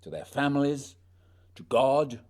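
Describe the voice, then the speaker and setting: An actor playing a dutiful, reserved male polar expedition leader, measured, grave and formal, in a radio play. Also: medium pitch, fairly even intonation, a little mellow, natural voice. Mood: grave, resolute.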